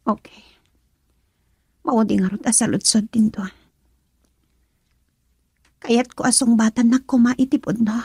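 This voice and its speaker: A woman's voice: on the high side, steady tone, unhurried, long pauses, dark tone, husky, no echo, studio quality, heavily acted. A woman playing a frustrated young girlfriend in an Ilocano radio drama, emotional and pleading as she longs to marry.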